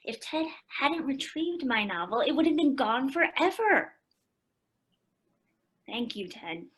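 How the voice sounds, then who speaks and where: A woman's voice: high-pitched, sing-song, slow, a bit bright, heavily acted. A woman playing a hot-tempered, resentful teenage girl in a radio play, with a dramatic, accusing manner. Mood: bitter. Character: Joe, hot-tempered resentful teenage sister and young writer.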